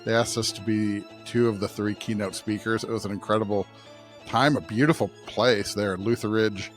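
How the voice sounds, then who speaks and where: A man's voice: on the low side, steady tone, moderate pace, faint music behind. A man co-hosting a church podcast in casual, conversational talk. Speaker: podcast co-host and pastor. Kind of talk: casual chat.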